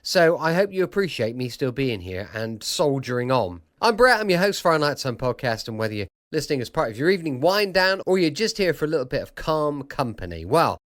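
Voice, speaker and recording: Chatty male host, lively, sore throat. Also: fairly high pitch, a little fast, few pauses, dry recording, studio quality. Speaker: podcast host. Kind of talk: casual chatty show introduction.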